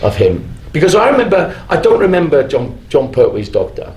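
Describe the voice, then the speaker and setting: A middle-aged man chatting casually as a panel guest, halting and often restarting his sentences in an informal way. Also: on the high side, big pitch swings, a little mellow. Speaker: guest actor on a fan convention panel. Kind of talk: casual live panel chat. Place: convention stage in a hall. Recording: echoey live stage recording, faint noise.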